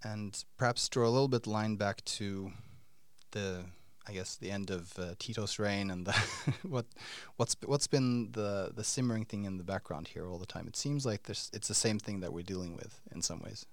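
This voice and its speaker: A man hosting an interview podcast, his voice pitched somewhat low and recorded dry and close. He talks in a halting way, circling his questions with many fillers and restarts. He sounds middle-aged. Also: no echo. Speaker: podcast host.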